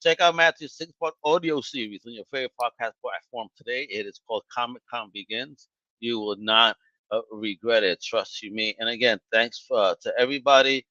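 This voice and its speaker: A man hosting a podcast, chatting casually and excitedly with lots of stammered restarts and slang. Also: medium pitch, brisk, no echo, muffled recording. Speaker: podcast host. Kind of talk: casual interview wrap-up.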